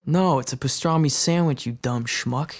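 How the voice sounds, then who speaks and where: Gravelly, ruthless gangster gunman played by a man. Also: mid-range voice, moderate pace, natural voice. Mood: cold menace. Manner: curt, sarcastic, bossy. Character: ruthless, impatient 1920s gunman leading a robbery. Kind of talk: period crime audio drama acting.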